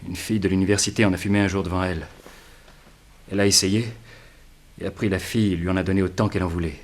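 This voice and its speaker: An actor playing an anguished, naive young student who confesses earnestly, with a husky voice, in a 1960s French radio crime drama. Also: male voice, low-pitched, steady tone, fast. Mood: subdued recounting. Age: young adult. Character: anguished young male student, naive and guilt-ridden suspect.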